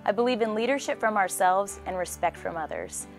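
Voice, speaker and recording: A woman's voice: mid-range voice, lively intonation, a little fast. A young woman reciting a creed formally. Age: young adult. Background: soft instrumental music.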